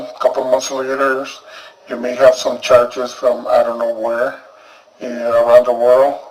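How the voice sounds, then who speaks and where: A man speaks casually as a reviewer, repeating cautions to his viewers. His voice is middle in pitch and sits away from the microphone. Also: darkish tone, recorded from a distance, rough recording. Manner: cautionary, repetitive. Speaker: software reviewer.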